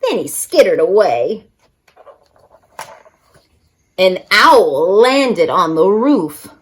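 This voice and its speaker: A woman's voice: mid-range voice, very animated, slow, breathy edge. A woman reading a picture book aloud expressively for young children, as a story-time reader.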